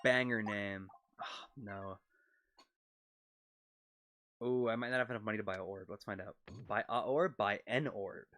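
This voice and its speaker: A man with a Canadian accent chatting casually on a game stream, rambling and talking quickly, seated at his desk close to a mic. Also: lowish voice, a bit bright, soft background music. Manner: rambling, quick-talking, self-conscious about his pronunciation. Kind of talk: casual live-stream chat over gameplay. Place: seated at his desk, leaning back in a chair. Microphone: desk mic set close to his mouth.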